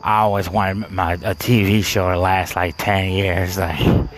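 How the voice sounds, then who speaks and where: A man's voice: sits low, little pitch movement, unhurried, slight background noise. A man telling a rambling personal story, full of fillers, restarts and bursts of laughter at himself. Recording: music playing behind.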